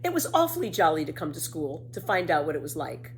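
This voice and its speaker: A woman's voice: deep voice, big pitch swings, quick pace, a little hiss or hum. Woman voicing young storybook girl, cheeky, boastful, breezy, emphatic, swooping intonation. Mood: candid. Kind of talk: children's storybook read aloud.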